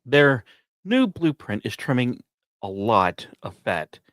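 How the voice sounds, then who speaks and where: Guy hosting a podcast, mid pitched voice, reads his script like a story w/ little asides, really dry sound. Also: sing-song, takes it slowly, fairly bright tone, no room sound. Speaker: podcast host.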